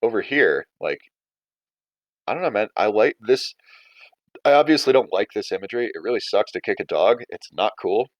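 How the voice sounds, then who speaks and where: A man with a deep voice teaches in a loose, conversational style. He thinks aloud as he explains, and the sound is dry with little room to it. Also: lively intonation, normal speed, no echo. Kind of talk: casual writing lesson and story read-aloud.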